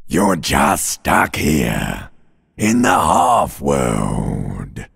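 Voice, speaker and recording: A man voicing an ancient reptilian brain in a video game, a menacing and seductive inner voice with a guttural, raspy growl, drawn-out and mocking. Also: very deep voice, very animated, a bit bright, heavily acted. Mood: mocking, scornful. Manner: slow, drawn-out, mocking, darkly soothing. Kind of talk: theatrical video game voice acting. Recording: long cavernous reverb.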